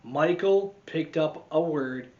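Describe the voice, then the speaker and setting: A man reading a picture book aloud to children as a teacher, expressively, with dramatic swings and deliberate pauses. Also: a little high, darkish tone, lo-fi sound. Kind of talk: animated children's storytime read-aloud.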